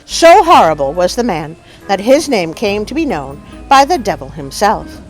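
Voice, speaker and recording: A woman hosting a story show, telling tales in an expressive, folksy, animated way to her listeners. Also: medium pitch, normal speed, poor audio. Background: music.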